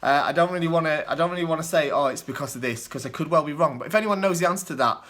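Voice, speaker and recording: A young man with a soft northern English accent, his voice bright and forward. He rambles through viewer questions off the cuff, filling pauses with ums and uhs. He laughs lightly at himself now and then. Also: on the high side. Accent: mild northern English, Lancashire and Yorkshire mix. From northwest England. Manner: hesitant, lots of ums and uhs, chuckles often. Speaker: kendo channel host answering viewer questions. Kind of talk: casual off-the-cuff Q&A.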